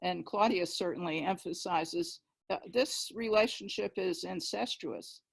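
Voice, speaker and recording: A woman talking in a measured, emphatic, explanatory way during a literary discussion on a video call. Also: fairly low pitch, gravelly, slightly rough. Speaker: discussion participant, questioner.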